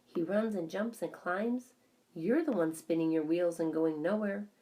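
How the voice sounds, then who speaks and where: A woman's voice: fairly low pitch, a little slow, mellow, close to an everyday voice. A woman voicing a blunt but caring older wife, direct and emphatic, in a children's audiobook. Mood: firm, scolding. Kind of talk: children's audiobook character dialogue.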